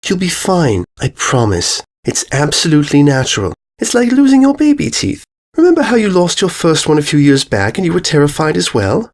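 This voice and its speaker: A man's voice: a little high, huge pitch swings, fairly quick, voice pushed up, heavily acted. A man voicing a refined female pony, a motherly older sister who speaks in a proper, soothing, cooing way in a storybook reading. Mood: gentle, reassuring.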